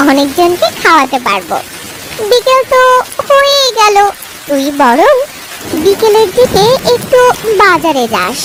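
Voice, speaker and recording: Woman voicing a very high-pitched village woman. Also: heavy background noise, full character voice. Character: village woman, homely mother, outspoken and affectionate. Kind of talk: cartoon story acting.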